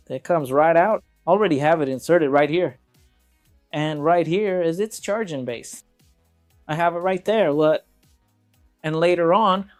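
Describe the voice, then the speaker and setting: Man, slightly high voice, product reviewer, casual hands-on demo, conversational, speaks straight to viewers, music behind. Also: a little mellow. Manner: conversational, addresses viewers directly.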